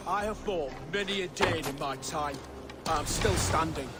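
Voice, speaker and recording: An actor playing a proud, stubborn middle-aged warrior thane in a video game, speaking gruffly. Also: male voice, on the high side, unhurried, over loud music, close to an everyday voice. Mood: defiant. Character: defiant older male warrior thane. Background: game score.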